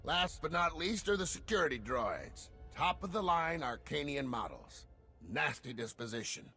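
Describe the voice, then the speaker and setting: A gruff veteran security chief in a sci-fi game. He is blunt and wry, with a gravelly edge and very animated pitch. Faint music runs underneath. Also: moderate pace, full character voice. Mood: brisk briefing. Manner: blunt, wry, informal briefing.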